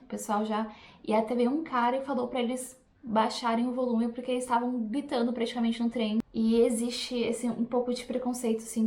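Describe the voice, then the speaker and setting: Fast-talking Brazilian woman, echoey room. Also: on the high side, a little mellow. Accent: Brazilian Portuguese. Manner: chatty, reflective, addresses viewers directly. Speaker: language teacher vlogger. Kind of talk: casual talk to camera.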